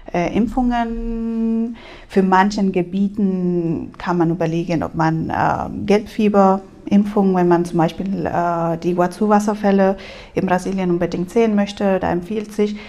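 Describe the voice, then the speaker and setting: A woman from Spain speaks German, answering as an exchange program advisor. Her voice has a gravelly creak, and she hesitates often, filling pauses with filler sounds and making small slips in grammar. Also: fairly low pitch, steady tone, mellow. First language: Spanish. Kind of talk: interview answers. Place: language school room.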